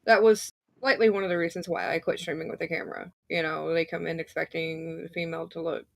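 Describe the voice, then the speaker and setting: A woman guest with a low voice, talking in a matter-of-fact way and often saying "you know". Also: flat delivery, fast talker, gravelly, dry recording. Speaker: streamer guest. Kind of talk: casual panel chat.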